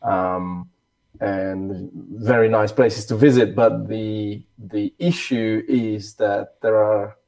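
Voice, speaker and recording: Low, mellow man. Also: fairly even intonation, gravelly, poor audio. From Hungary. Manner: measured, thoughtful, hesitant fillers. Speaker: podcast guest, overland traveler. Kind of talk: conversational interview answers.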